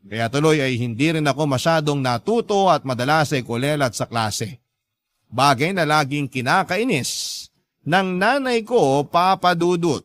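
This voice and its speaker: A man with a Filipino accent narrating a scripted letter as a radio drama host, in a measured storytelling manner. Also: a little high, animated, a bit bright.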